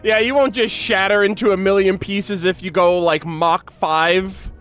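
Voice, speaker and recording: A young man with a fairly high voice reacts to a game as a streamer would. His talk is casual and full of slang, playful and quick to exclaim, with faint music behind him. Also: fairly even intonation, fairly bright tone, clear, resonant tone.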